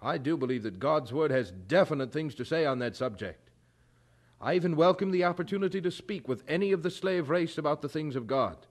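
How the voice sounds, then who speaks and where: A man acting in an audio drama as a firm, earnest colonial chaplain, speaking in a formal, measured and articulate way. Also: a little high, lively intonation.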